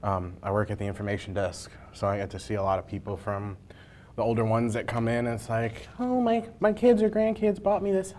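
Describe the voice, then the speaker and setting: A man's voice: on the low side, expressive, brisk, a little mellow, slight background noise. A man hosting a casual, jokey interview with informal, colloquial phrasing. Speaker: interview host, library information desk worker. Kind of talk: casual chat during a hot sauce challenge interview.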